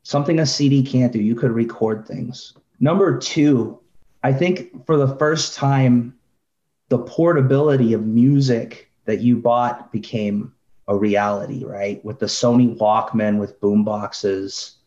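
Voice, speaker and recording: A middle-aged man co-hosting a podcast, debating in casual, slangy, animated storytelling style. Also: medium pitch, measured pace. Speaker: podcast co-host. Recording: roomy, dull lo-fi sound.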